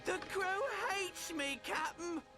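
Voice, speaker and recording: A man's voice: very high voice, music in the background, full character voice. A man voicing a loyal, theatrical robot first mate in a video game. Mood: mournful. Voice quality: gravelly, creaky. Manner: theatrical, drawn-out piratical delivery. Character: loyal, mournful, dim-witted old robot space pirate first mate.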